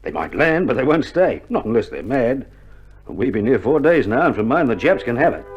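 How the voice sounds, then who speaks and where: Dull old recording, wry, lively Australian army nurse. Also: male voice, medium pitch, a little fast. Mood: chatty, wry. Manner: laconic, joking, colloquial banter. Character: wry, reassuring army male nurse in a wartime field hospital. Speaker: actor in a radio war drama. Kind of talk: 1960s radio drama acting. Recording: old vinyl recording, dull band, faint noise.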